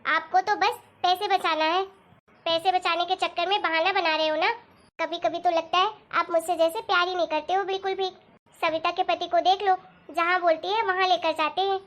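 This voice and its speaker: A woman's voice: very high voice, steady tone, normal speed, fairly bright tone. A woman voicing a demanding, spendthrift young wife in a cartoon moral story, chatty and pleading as she nags. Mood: whiny nagging.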